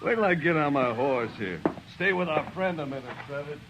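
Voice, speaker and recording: Swaggering cowboy bully, noisy old radio. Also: male voice, a little high, animated, fairly quick. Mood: mocking. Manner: taunting, cocky drawl. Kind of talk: 1950s radio western acting.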